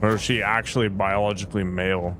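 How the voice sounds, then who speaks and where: A man's voice: on the low side, fairly even intonation, quick pace, a bit bright, slight background noise. A man chatting casually as he streams a game, musing aloud with fillers and trailing thoughts. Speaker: let's play streamer. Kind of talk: casual gameplay commentary.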